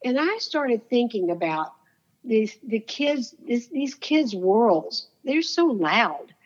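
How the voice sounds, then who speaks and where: A woman's voice: a little high, sing-song, takes it slowly, muffled recording. A woman guest in an interview, telling stories in an earnest, heartfelt way with a soothing voice. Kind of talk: casual interview storytelling.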